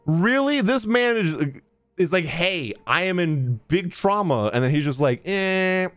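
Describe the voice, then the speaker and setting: Animated young man streaming a game. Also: high-pitched, unhurried, clear, resonant tone, dry recording, soft background music. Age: young adult. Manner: animated, jokey. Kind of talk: casual live reaction commentary. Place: small indoor streaming room.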